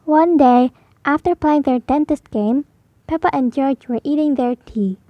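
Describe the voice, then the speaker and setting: A woman narrates a children's storybook in a high voice. She reads at a slow, measured pace for small listeners. The recording is slightly dull. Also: no room sound. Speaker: storybook reader. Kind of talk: scripted read-aloud narration for young children.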